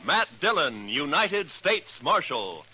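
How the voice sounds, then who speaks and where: A man announcing in dramatic 1950s radio style, solemn, emphatic and deliberate. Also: a little high, very animated, loud music behind the voice, low-quality recording. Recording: vintage radio recording.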